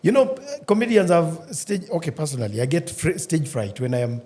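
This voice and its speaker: A man chatting on a podcast, his pitch rising and falling a great deal. He speaks in brief, lively remarks. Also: a little fast. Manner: short, lively interjections. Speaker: actor and podcast guest. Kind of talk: casual roundtable chat.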